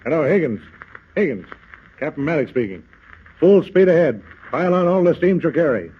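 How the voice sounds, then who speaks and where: An actor playing a loyal, plain-spoken ship's captain with a folksy, gruff manner, in 1940s radio drama style. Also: male voice, on the high side, sing-song, a little fast. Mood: commanding. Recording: muffled noisy 1940 radio recording.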